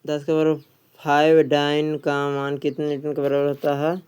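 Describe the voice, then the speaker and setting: A man's voice: a little high, completely flat delivery, normal speed, a little mellow, smooth. A man working as an exam coach, reading quiz questions and answers aloud in an instructional way, often repeating them.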